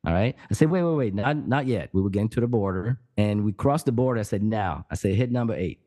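A man from France with a French accent, a guest on a podcast, telling a story in a lively way with many restarts. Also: on the low side, quick pace. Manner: animated storyteller, hums riffs, many restarts. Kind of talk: casual podcast chat.

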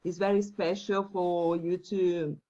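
A woman whose first language is Portuguese, a guest talking earnestly about faith with halting phrasing and many fillers. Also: fairly low pitch, flat delivery, warm tone, muffled recording. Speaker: podcast guest. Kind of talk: faith-based interview talk.

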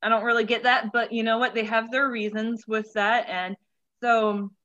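A young woman in her twenties speaks as an interview guest in a bright, forward voice. She talks in a candid, informal way about herself, often checking her own words. The audio is slightly dull and lo-fi. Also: a little high, level tone, normal speed. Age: 20s. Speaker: children's pastor, interview guest. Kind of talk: casual interview chat.

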